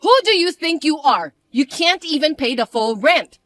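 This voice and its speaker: A woman voicing a spoiled young stepsister who taunts and bosses in a shrill, mocking way. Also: lively intonation, moderate pace, a bit bright, no room sound. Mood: taunting.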